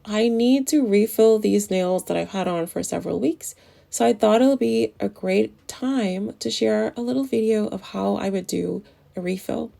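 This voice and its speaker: A woman's voice: mid-range voice, moderate pace, vocal fry, dark tone. A woman narrating a casual nail tutorial in a chatty, enthusiastic way, her voice a little off as it recovers from the flu. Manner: chatty, enthusiastic about products.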